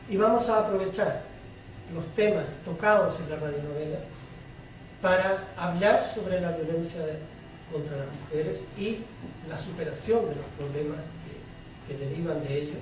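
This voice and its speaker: A man speaking formally and in a measured way as the moderator of a public panel debate. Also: high-pitched. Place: large echoey room at a public event. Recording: echoey hall, distant mic, faint noise.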